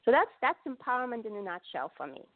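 A woman's voice: a little high, sing-song, fast talker, no echo. A woman guest, an empowerment coach, speaking earnestly and enthusiastically in a live online interview. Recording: dry, phone-like narrow audio.

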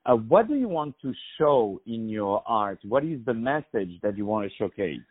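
A man's voice: lowish voice, sing-song, moderate pace, husky, narrow, tinny audio. A man hosting a radio interview, effusive and full of praise, often repeating his words as he talks. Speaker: radio host.

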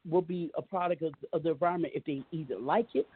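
A man hosting a call-in talk radio show, talking fast and joking, often cutting in over his caller. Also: fairly high pitch, breathy edge, no room sound. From US West Coast. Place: home studio in the Southwest. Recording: phone-line audio with faint hiss.

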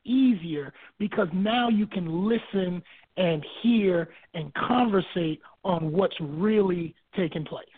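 A man from Barbados, a guest and author, telling his life story in a relaxed way, repeating words as he thinks and giving homely examples. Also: high-pitched, slow pace, slightly rough. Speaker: guest, author and marriage minister. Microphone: phone. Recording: tinny phone line.

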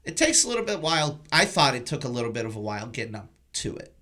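A man's voice: mid-range voice, very animated, fast talker, sharp tone. A man hosting a podcast in a casual movie review chat, emphatic and repeating phrases for stress. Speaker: podcast host.

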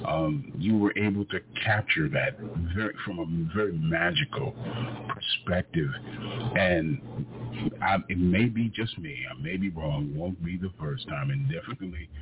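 A male radio host asking long, carefully phrased interview questions. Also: low-pitched. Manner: deliberate, elaborate phrasing. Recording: tinny phone line with noise.

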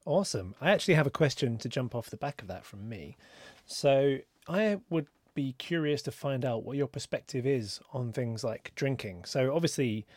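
A man's voice: medium pitch, expressive, normal speed, hardly any pauses, no room sound. A man hosting an interview podcast, asking questions in a friendly, curious way. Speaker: podcast host.

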